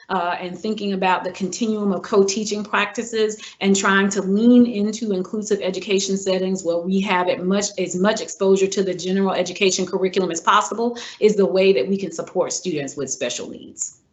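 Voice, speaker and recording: A woman answering interview questions as a superintendent candidate, in a measured, earnest way, often pausing on "uh". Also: mid-range voice, steady tone. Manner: measured and earnest, many filler "uh"s. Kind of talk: formal job interview answers. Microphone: video call. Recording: dull lo-fi video call audio.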